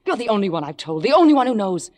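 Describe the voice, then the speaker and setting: A woman's voice: medium pitch, very animated, very fast, darkish tone, long reverb tail, close to an everyday voice. Ambitious young actress with sweeping theatrical intonation , acted by a woman. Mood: elated, confiding. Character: ambitious, scheming young stage actress, outwardly poised. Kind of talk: 1950s radio drama acting.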